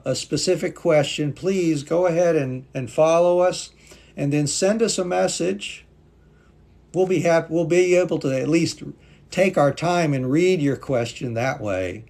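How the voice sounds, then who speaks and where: Guy teaching english on a live stream, slow and patient, voice a bit high, reads comments out and thanks people. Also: darkish tone. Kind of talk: live-stream language class chat.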